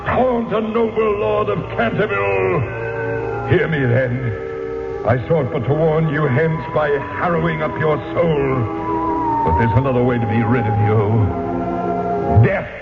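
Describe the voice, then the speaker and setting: A man's voice: fairly high pitch, huge pitch swings, takes it slowly, vocal fry, over loud music, exaggerated voice. An actor playing the centuries-old ghost of a wrathful, tormented English nobleman, declaiming theatrically in archaic speech for a radio drama. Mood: furious. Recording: dull old radio recording, echoing hall reverb.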